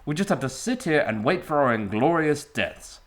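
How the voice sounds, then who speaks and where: A man's voice: fairly high pitch, sing-song, normal speed. A man voicing a sarcastic, exasperated young man in a parody cartoon. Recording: music behind.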